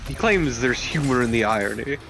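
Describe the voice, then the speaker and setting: A man's voice: medium pitch, lively intonation, brisk, vocal fry, a bit bright, soft background music. A man making playful, joking small talk as co-host of a casual video game commentary. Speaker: let's play co-host. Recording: faint background noise.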